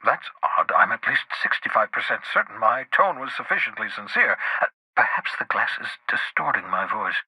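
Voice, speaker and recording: An actor playing a cantankerous, socially awkward scientist in a video game, raspy, with a fussy, wordy, theatrical delivery. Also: male voice, on the low side, sing-song, normal speed, bright, forceful tone, no echo, full character voice, poor audio. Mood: awkward, apologetic. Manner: fussy, wordy, dramatic, with muttered asides.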